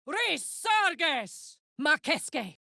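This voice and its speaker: A woman playing a brash, warm-hearted, tough tiefling warrior in a video game, speaking in a blunt, slangy, heartfelt way. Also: high-pitched, big pitch swings, very slow, creaky, dry recording, clean studio sound, heavily acted. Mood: shouted incantation. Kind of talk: video game character voice acting.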